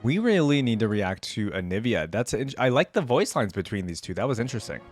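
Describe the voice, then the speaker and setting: Low-voiced man, casual reaction commentary. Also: sing-song, fairly quick, faint music behind. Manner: conversational, musing aloud. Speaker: reaction video host.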